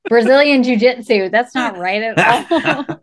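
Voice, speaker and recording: A woman's voice: a little high, measured pace. A woman chatting casually as a podcast co-host and quiz contestant. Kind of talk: casual chat.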